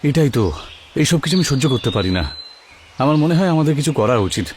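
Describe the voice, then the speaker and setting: A man's voice: on the high side, expressive, quick pace, darkish tone, slight background noise, natural voice. A man voicing a determined, hopeful young village boy in a cartoon, earnest and reassuring. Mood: troubled, resolute. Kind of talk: cartoon character acting. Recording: music behind the voice.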